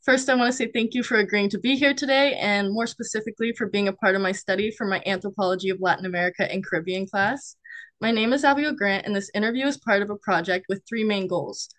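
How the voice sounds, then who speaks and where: Young woman, student interviewer, friendly, mid-pitched, dry sound. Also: fast, a bit bright, dry recording. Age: young adult. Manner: friendly, scripted intro then chatty, laughs often. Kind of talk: interview for a class project.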